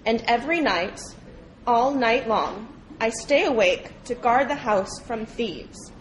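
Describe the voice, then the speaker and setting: A woman's voice: fairly high pitch, expressive, slow, a little hiss or hum, poor audio. Woman reading as a contented, dutiful house dog. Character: well-fed, dutiful, tame dog loyal to master.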